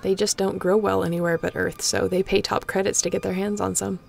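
A woman acts out a snarky space captain with a very creaky, mid-pitched voice. She is guarded yet affectionate and speaks casually and teasingly, as in an intimate sci-fi audio drama. Faint music plays underneath. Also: flat delivery, fairly quick. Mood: playful, eager. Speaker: voice actor in a sci-fi audio roleplay. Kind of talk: intimate audio roleplay acting.

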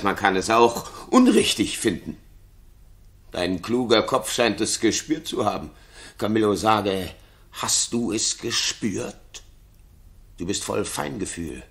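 A man's voice: fairly low pitch, fairly bright tone, exaggerated voice. An actor playing a jealous, paranoid king in a 1960s German radio play, brooding and theatrical, moving between muttering and outbursts. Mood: suspicious probing.

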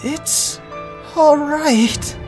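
Very slow, weak, dying gentle creature performed by an actor. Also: high-pitched, over music. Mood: gentle, fading. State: weak, labored speech. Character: dying young creature, gentle and self-sacrificing. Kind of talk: melodramatic comic dub voice acting.

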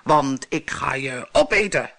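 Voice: medium pitch, expressive, unhurried, creaky, sharp tone, full character voice. A reader voicing a greedy, one-eyed green monster under a bridge who growls and roars orders in a storybook tale. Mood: threatening. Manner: growling, roaring, bossy.

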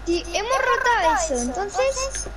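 Very high-pitched voice, Spanish woman gaming. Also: big pitch swings, unhurried, a loud crowd. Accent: Spain Spanish. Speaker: video game player. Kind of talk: casual gaming chat with a teammate. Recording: very noisy game voice chat.

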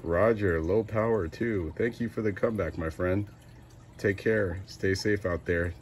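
A man's voice: low voice, measured pace, very noisy. An American man making casual CB radio calls in a clipped way, repeating call signs. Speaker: CB radio operator.

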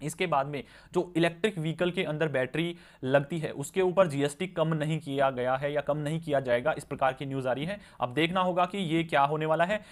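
A man with a fairly high-pitched voice presents financial news as commentary. He explains each point informally, step by step, and keeps checking in with the listener through short repeated tags. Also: brisk, few pauses. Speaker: stock market news presenter. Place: voice-over on slides with handwritten notes.

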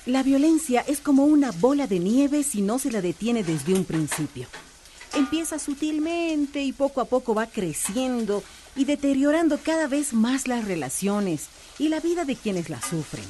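A woman's voice: a little high, mellow, slight background noise. A woman playing a patient, persuasive police corporal in a radio soap, courteous and earnest.

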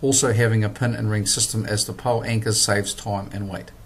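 A man, presenting a product in a measured, scripted voice-over. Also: on the low side, flat delivery, a little hiss or hum. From New Zealand. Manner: measured, plain instructional delivery.

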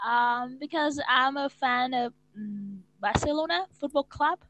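Hesitant young Vietnamese woman, slow. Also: high voice, a bit bright, no room sound, rough recording. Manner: hesitant, searches for words. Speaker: English student. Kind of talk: casual video-call lesson. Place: small room indoors. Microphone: wired earphone mic.

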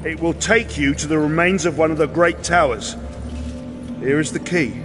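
A weary, wary mercenary captain played by a man talks bluntly in a fairly high male voice, used to giving orders. Music runs behind him in this fantasy audio drama. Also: moderate pace, natural voice. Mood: wary, resigned. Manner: plain-spoken, gruffly commanding.

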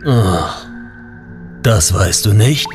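An ancient guardian of a royal tomb, acted by a man, speaking at a slow, stately pace. The delivery is pompous and archaic, as in a fantasy radio play, with faint music behind it. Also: on the low side, lively intonation, exaggerated voice. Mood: proud, solemn. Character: ancient, grandiose male guardian of a king's tomb. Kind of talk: audio drama acting.

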